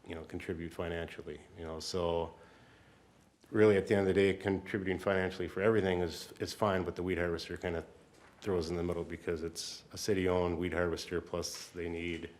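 A man speaking as a city staff member, explaining things at a council meeting in an informal, halting way. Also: sits low, flat delivery.

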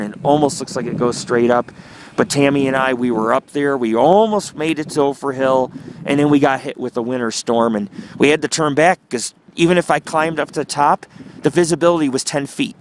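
A man giving a casual, rambling tour narration as a vlogger, speaking outdoors into a handheld camera. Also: mid-range voice. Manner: chatty, rambling. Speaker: vlogger and paranormal investigator. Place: outdoors in a small town street. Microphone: handheld camera mic. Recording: noisy outdoor recording with traffic ambience.

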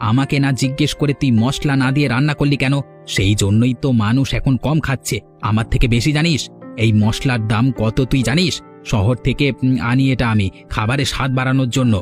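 Cartoon hotel boss, acted by a man, rapid speech, medium pitch, domineering, scolding, stubborn. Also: faint music behind, lightly acted. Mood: irritated, bossy. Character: bossy, stubborn village hotel owner. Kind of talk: Bengali cartoon voice acting.

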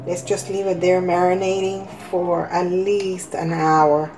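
A woman with a slightly hoarse voice hosting a casual cooking demonstration, talking step by step in a halting way. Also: fairly low pitch, level tone, darkish tone, slight background noise, rough recording. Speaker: cooking vlog host. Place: home kitchen, indoors.

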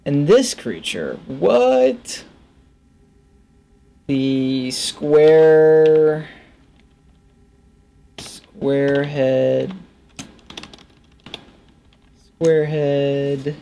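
A man in his thirties giving casual gameplay commentary, halting and muttering to himself as he names his discoveries. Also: fairly high pitch, expressive, creaky, warm tone, slight background noise. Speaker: gaming video host. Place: small indoor room at a computer.

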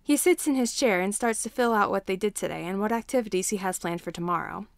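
Woman reading fanfic aloud, normal pitch, really dry sound. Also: fast talker, vocal fry, dry recording. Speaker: fan fiction reader. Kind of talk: scripted story narration.

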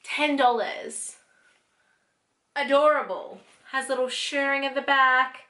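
A woman's voice: high voice, fairly even intonation, slow pace, pushed and bright. A woman in her thirties with an Australian accent, chatting casually to the camera in a family haul vlog, gushing and rambling as she justifies her purchases. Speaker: family vlogger. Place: bright home room indoors.

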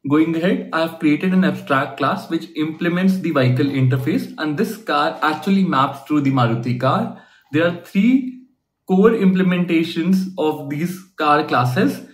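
A young man walks viewers through code in a steady, explanatory tutorial voice. His voice sits a little high for a man. The room around him gives it a noticeable echo. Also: normal speed. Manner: explanatory, steady walkthrough with rhetorical questions. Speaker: coding tutorial host. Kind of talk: screen-share programming lesson. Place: small indoor room at a desk.

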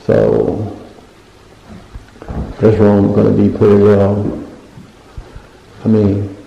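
A man's voice: fairly low pitch, sing-song, drawn-out words, creaky, slight background noise. A man walking through his unfinished basement with a handheld phone, talking casually and rambling as he hunts for words and points things out. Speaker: home renovation vlogger. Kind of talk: casual walkthrough narration. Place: unfinished basement room with bare drywall, indoors. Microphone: handheld phone camera. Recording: echoey bare room, dull lo-fi sound.

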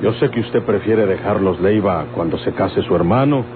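A man's voice: fairly low pitch, lightly acted. A man playing a persistent, ingratiating land buyer in a golden-age radionovela, deferential but insistent, with a Mexican accent. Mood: apologetic, probing. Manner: insistent yet deferential, hesitant pauses. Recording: tinny, noisy old radio recording.

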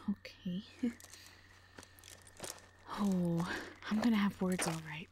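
A woman's voice: on the low side, fairly even intonation, very slow, background noise. A woman playing a brash, defiant mercenary in an audio drama, with a blunt, sardonic manner. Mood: defiant, irritated. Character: brash, defiant female mercenary, protective and unimpressed.

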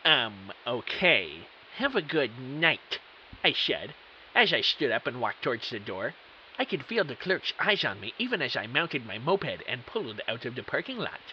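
Bewildered everyman narrator male actor, mystery audio drama. Very bright, forceful, melodramatic, over faint hiss. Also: medium pitch, sing-song. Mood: puzzled. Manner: earnest, melodramatic, deliberate emphasis. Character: bewildered computer technician narrating his own mystery. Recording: dull, hissy audio.

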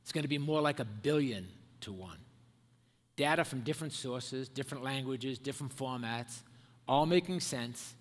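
An older man giving a measured, emphatic keynote speech from a podium on a large auditorium stage, wearing a headset mic. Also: mid-range voice. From Ireland and America. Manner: measured, emphatic, builds points in lists. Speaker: keynote speaker. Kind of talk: prepared conference speech. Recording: long hall reverb.

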